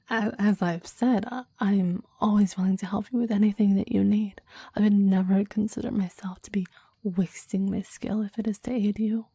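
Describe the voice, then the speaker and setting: Kadının canlandırdığı çok yumuşak, fısıldayan, sahiplenici iblis. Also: female voice, mid-range voice, steady tone, no room sound, clean studio sound. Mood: attentive, deferential. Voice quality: soft, breathy whispering. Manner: slow, formal, intense and adoring. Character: possessive, devoted clingy demon bound to a summoner. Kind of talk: intimate ASMR roleplay, whispered.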